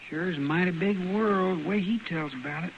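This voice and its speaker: A man's voice: high-pitched, a little fast, vocal fry. An actor playing a wistful, nearly grown frontier boy in a radio western, with a rural Western American accent and an artless, folksy manner. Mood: wistful, earnest. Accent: rural American West. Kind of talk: old-time radio western acting. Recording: dull, faintly noisy old radio recording.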